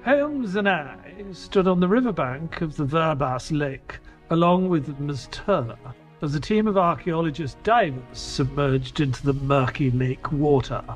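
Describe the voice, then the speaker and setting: An earnest male companion, played by an actor tells an audio-drama adventure with inquisitive energy. His voice sits a little high for a man and swings animatedly, with some music behind it. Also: expressive, a little slow. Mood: narrating. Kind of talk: audio drama narration and dialogue.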